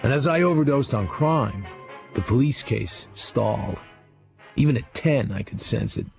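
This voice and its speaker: An actor playing a brooding American crime writer, narrating a drama in a terse, hard-boiled, gravelly voice. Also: male voice, medium pitch, animated, measured pace. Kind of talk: first-person scripted drama narration. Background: music.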